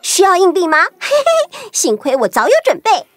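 A woman voicing an eager, excitable, childlike detective's sidekick in a children's audio story. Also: very high voice, moderate pace, faint music behind. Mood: excited, confident.

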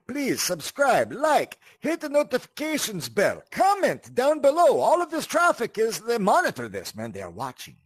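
A man's voice: high-pitched, no echo. A man hosting a casual game tutorial, rambling, repeating words and often checking in with the listener. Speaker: host of a video game tutorial channel. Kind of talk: casual spoken tutorial with asides.

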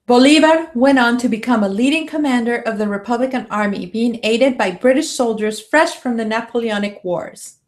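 Female narrator, thirties to forties, slightly high voice, reading prepared article text on a studio mic. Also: moderate pace, hardly any pauses. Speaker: reader of an article. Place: indoor room. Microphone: studio microphone with pop filter.

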